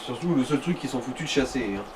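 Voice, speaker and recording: A man's voice: medium pitch, lively intonation, fast. A man co-hosting a let's play with casual, vulgar, sarcastic commentary. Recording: distant mic, faint background noise.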